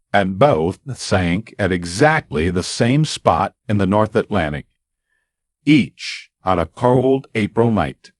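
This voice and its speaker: Deliberate male radio storyteller, dramatic pauses. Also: lowish voice, dry recording, studio quality. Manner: dramatic pauses, punchy emphatic reveals. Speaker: radio commentator.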